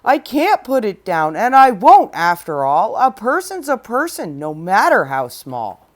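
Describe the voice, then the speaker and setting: A woman's voice: mid-range voice, sing-song, measured pace. A woman voicing a kind, worried male elephant in a storybook, earnest and pleading. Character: kind anxious male elephant, big and gentle.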